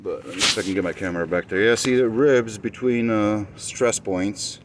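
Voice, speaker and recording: A man whose first language is Romanian, talking through his motorcycle build in a casual, hesitant vlog, pausing often with fillers. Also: fairly low pitch, background noise. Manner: hesitant, many fillers, thinking aloud.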